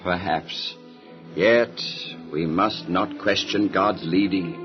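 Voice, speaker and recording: An actor playing an earnest, obedient biblical patriarch and husband in an audio drama, with a husky, declamatory delivery. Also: male voice, deep voice, fairly even intonation, takes it slowly, over music. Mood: assured. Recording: dull lo-fi radio drama recording.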